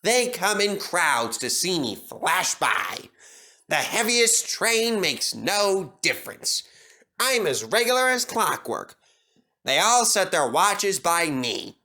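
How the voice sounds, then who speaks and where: Man as conceited, high-pitched steam engine. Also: big pitch swings, bright, forceful tone, full character voice. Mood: smug. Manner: boastful, drawn-out, pompous. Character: conceited, boastful young male tank-and-tender steam engine.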